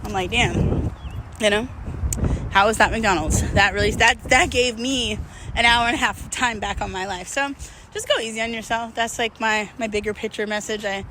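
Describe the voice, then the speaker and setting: Female vlogger, bright forward voice, candid rambling pep talk, recorded outdoors with faint street noise. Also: fairly high pitch, moderate pace, low-quality recording. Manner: candid, rambling, self-deprecating; talking while out walking. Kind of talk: casual motivational chat to followers. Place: outdoors, on a walk. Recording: outdoor background noise, urban traffic ambience.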